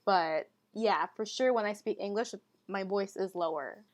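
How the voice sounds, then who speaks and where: A young woman co-hosting a podcast, telling stories in a relaxed, casual way and often saying "like". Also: medium pitch, unhurried. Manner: relaxed storytelling, heavy use of "like". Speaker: podcast co-host. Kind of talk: casual chat.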